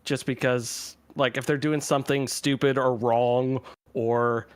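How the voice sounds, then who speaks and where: A man's voice: medium pitch, fairly even intonation, unhurried, clear, resonant tone, no room sound, clean studio sound. A man chatting casually as a panelist on a podcast. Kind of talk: casual chat.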